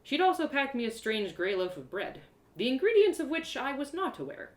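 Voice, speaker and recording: A woman narrating a first-person adventure story in an expressive, theatrical way with dramatic pauses. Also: high-pitched, roomy sound. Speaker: storyteller performing own one-woman audio drama.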